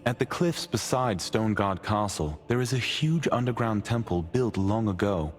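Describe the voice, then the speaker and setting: A man playing a solemn storyteller, delivering measured scripted narration of fantasy lore in a video game. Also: fairly low pitch, lively intonation, natural voice. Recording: music under the voice.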